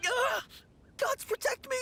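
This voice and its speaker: An actor playing a timid, cowardly young novice undertaker in a video game, stammering, panicky and pleading. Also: male voice, very high voice, steady tone, slow, creaky, full character voice. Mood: panicked. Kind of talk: dramatic video game voice acting.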